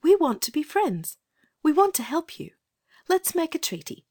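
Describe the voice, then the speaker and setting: Woman as a lively, kind English little girl. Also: fairly high pitch, normal speed, no echo, studio quality. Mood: kind, pleading. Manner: gentle, earnest, persuasive. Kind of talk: dramatized children's storybook reading.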